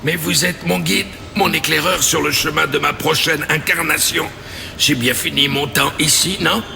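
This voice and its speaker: An actor playing a serene, devout monk with solemn, mystical phrasing in a dubbed video game cutscene. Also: male voice, a little high, fairly even intonation, normal speed, gravelly, sharp tone, faint music behind, heavily acted. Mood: resigned, reverent.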